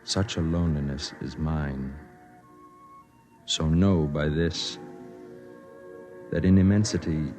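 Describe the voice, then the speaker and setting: A man acting a lonely, gentle man in 1950s American radio drama, speaking in a measured, earnest, tender way. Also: extremely low pitch, steady tone. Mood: tender, wistful. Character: lonely, gentle man narrating and playing himself. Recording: vintage 1950s radio with background music.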